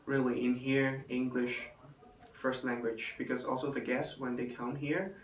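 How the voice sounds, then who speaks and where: A young man, a hotel intern and native Chinese speaker, giving a halting spoken evaluation in English with many fillers. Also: mid-range voice, steady tone. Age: young adult. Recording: roomy, distant, faint room noise.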